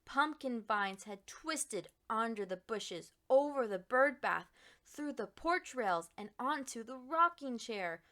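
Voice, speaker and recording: A woman reading a children's picture book aloud as an expressive storyteller with dramatic, deliberate emphasis. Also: a little high.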